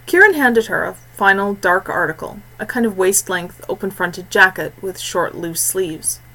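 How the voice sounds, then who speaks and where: A woman narrating a scripted fantasy story in a measured, expressive way with deliberate phrasing. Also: mid-range voice, rough recording. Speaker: audiobook narrator.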